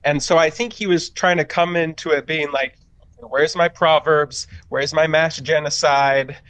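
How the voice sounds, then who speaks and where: A man's voice: fairly high pitch, fairly even intonation, unhurried, a bit bright, no room sound, lo-fi sound. A man talking casually and giving his opinions as a panelist in a group discussion, often paraphrasing with mock quotes. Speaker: panelist on a reaction podcast.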